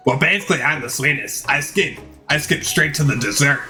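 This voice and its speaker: A man's voice: fairly high pitch, lively intonation, fairly quick, sharp tone, soft background music, heavily acted. Man putting on smug, sugary female chef rival. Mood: smug, flirty. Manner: sugary, boastful.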